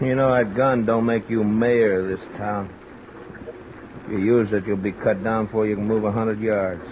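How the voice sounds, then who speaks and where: A man's voice: lowish voice, steady tone, moderate pace, lo-fi sound, close to an everyday voice. An actor playing a taunting, menacing henchman in old radio drama style, tough and mocking. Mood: mocking menace. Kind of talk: 1950s American radio drama acting. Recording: old radio recording, heavy rain noise.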